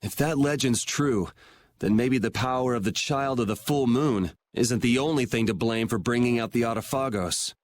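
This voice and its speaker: A man's voice: mid-range voice, moderate pace, slight background noise, close to an everyday voice. A man playing a cool, plain-spoken young swordsman in a video game, talking casually. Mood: thoughtful. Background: background music.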